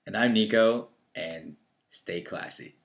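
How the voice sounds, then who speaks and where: A young man in his early twenties talks plainly with a dry, wry touch. His voice sits low as he co-hosts a casual chat. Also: slow pace, gravelly. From northeastern Ohio. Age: 20s. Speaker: co-host.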